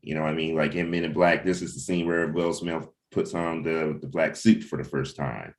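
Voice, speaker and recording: Very deep-voiced man talking casually. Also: little pitch movement, moderate pace. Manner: rambling, thinks aloud with frequent repeats. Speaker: comic book writer. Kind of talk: casual creative discussion.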